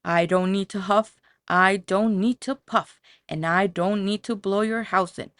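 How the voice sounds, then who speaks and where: A woman's voice: mid-range voice, steady tone, measured pace, fairly bright tone, no room sound, studio quality, natural voice. A woman voicing a sly, boastful male big bad wolf for a children's storybook, sneering and theatrical. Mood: gloating. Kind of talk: children's storybook character reading.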